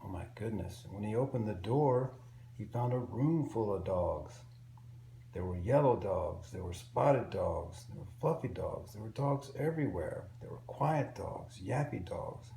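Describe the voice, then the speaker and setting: A man reading a picture book aloud to young children in an expressive, simple storytelling way. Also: lowish voice, gravelly. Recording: roomy echo with faint background noise.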